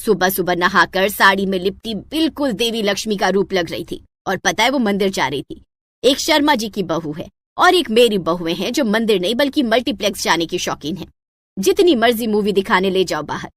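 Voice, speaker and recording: A woman's voice: mid-range voice, lively intonation, normal speed, fairly bright tone, dry recording. A woman voicing an older mother-in-law in a moral story, lecturing and emphatic as she praises or scolds. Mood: scolding. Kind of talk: acted moral-story dialogue.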